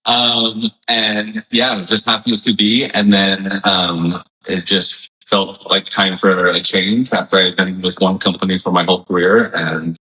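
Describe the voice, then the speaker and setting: Man, forties, from Hawaii, very low voice, crisp bright tone, relaxed friendly guest. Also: measured pace, few pauses, poor audio. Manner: friendly, easygoing, with fillers. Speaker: physical therapist guest. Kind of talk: casual interview.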